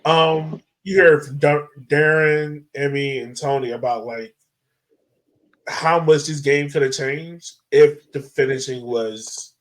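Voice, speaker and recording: A man's voice: mid-range voice, rough recording. A man hosting a sports podcast, talking through a match in an informal, chatty, loosely phrased way. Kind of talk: casual match analysis chat.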